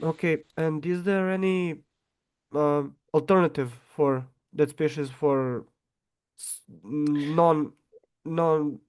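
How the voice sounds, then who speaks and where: A man from Serbia with a Serbian accent, asking questions haltingly in English, his second language. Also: a little high, steady tone, darkish tone, clear, resonant tone. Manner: halting, searching for words. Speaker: audience member asking questions.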